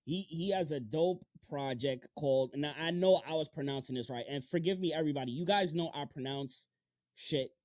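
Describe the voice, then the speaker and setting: A man's voice: a little high, normal speed, darkish tone, no room sound, studio quality. A man hosts a casual interview, talking in loose slang with frequent swearing and repeating words for emphasis. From Long Island, United States. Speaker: podcast host.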